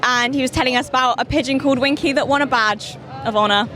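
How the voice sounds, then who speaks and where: A woman's voice: high voice, quick pace, a crowd in the background. A woman student theatre performer talking enthusiastically about her show in a street interview. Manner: bubbly, enthusiastic. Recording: busy crowded street.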